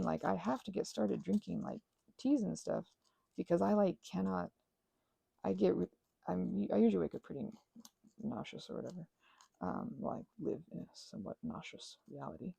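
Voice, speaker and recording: Very creaky, low-voiced woman rambling casually. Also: warm tone, dry recording. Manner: meandering, self-deprecating, trailing off mid-thought. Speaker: host of a craft ramble and ASMR channel. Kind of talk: rambling casual ASMR craft chat.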